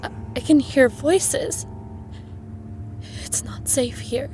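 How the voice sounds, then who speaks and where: An actress playing a nervous, haunted young guest in a horror audio drama, hesitant and fearful. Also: female voice, high voice, takes it slowly, a little mellow, soft background music. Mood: frightened.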